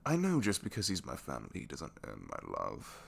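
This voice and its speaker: A man playing a captured, reluctantly evil supervillain in a romantic ASMR roleplay, bashful and lovestruck, speaking softly and haltingly and slipping into whispers. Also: very deep voice, wildly swooping pitch, gravelly, breathy edge, heavily acted. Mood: defensive, musing. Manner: halting, self-deprecating, soft confiding delivery slipping into whispers.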